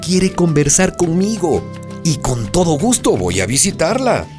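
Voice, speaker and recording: A man's voice: fairly high pitch, very animated, measured pace, darkish tone, soft background music. A man narrating and acting a radio host in a radio drama, explaining in a persuasive way.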